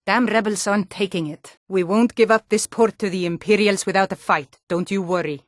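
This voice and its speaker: A woman's voice: medium pitch, brisk, no room sound, studio quality, lightly acted. An actress playing a tough, blunt Nordic warrior woman in a fantasy video game, speaking firmly with a Nordic accent. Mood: stern. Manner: firm, blunt.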